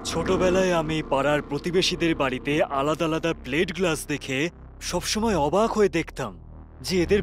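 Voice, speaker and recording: A man's voice: fairly high pitch, natural voice. An actor playing a chatty male wedding organizer who muses drolly in a dramatized story. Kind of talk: comic dramatized story dialogue. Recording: faint ambient noise.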